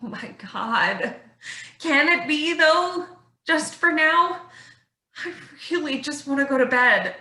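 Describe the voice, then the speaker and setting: A woman's voice: high voice, animated, slow, sharp tone, full character voice. An actress playing an anxious, earnest woman vigilante in a staged play reading. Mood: weary, hopeful. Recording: echoey room.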